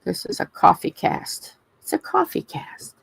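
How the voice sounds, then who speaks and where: A woman's voice: measured pace, no room sound. A woman co-hosting a casual crafting live stream, tossing out dry, teasing jokes. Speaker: co-host.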